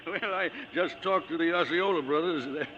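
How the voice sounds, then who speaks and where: A man's voice: a little high, moderate pace, natural voice. An actor playing a stubborn, indignant old father in a 1940s radio drama, blustering and defiant. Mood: uneasy. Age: older. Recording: dull vintage 1940s radio recording.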